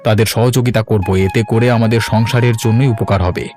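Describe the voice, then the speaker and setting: A man's voice: on the low side, steady tone, quick pace, over music, natural voice. A man voicing a young village husband in a cartoon, earnest, insistent and reasonable. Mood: appreciative. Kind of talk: cartoon voice acting.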